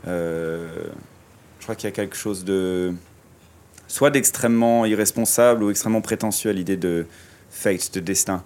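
A man's voice: low-pitched, normal speed, a little mellow. A man guest in a radio interview, speaking reflectively with many hesitations and restarts, through a surgical mask. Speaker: musician guest. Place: outdoors in a city street. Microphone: wearing a surgical mask.

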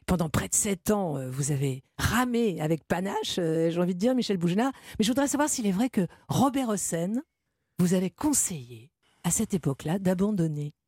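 A woman hosting a radio interview, asking polished questions with drawn-out fillers. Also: lowish voice, expressive, husky, no echo, clean studio sound. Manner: polished, warm leading questions, stretched fillers. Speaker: radio host.

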